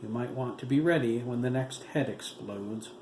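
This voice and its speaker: A man reading the part of a cold, clinical male doctor who speaks in a measured, matter-of-fact way. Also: mid-range voice, lightly acted. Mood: sardonic. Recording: roomy room with steady hiss.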